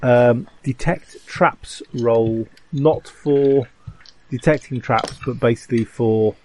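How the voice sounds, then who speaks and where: Male game master, mid-pitched, rambling and halting, casual table talk over slight background noise. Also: dark tone.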